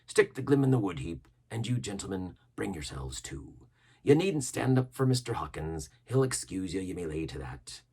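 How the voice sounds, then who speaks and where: A man's voice: sits low, sing-song, moderate pace, dark tone, slightly rough, exaggerated voice. A man voicing a sly, mock-friendly pirate captain with salty dialect, genial and sneering in a storybook reading. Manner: genial but sneering, gracious, persuasive.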